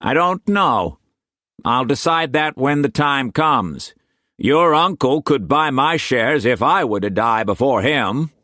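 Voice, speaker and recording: A man's voice: mid-range voice, lively intonation, slow pace, sharp tone, no echo, studio quality, voice pushed up. A man voicing an earnest, anxious young suitor who speaks sincerely. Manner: earnest, pleading.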